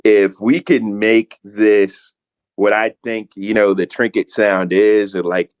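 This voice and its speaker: Male podcast host and producer, low voice; relaxed rambling talk over a dull, dry recording. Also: slow, clean tone, dry recording. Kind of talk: casual podcast chat. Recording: dull, muffled mic.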